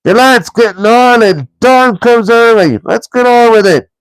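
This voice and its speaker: A man's voice: very high voice, a little slow, smooth, dry recording, pitched above the performer's own voice, low-quality recording. A man reading aloud and voicing quarrelsome brutish trolls in a storybook. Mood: squabbling.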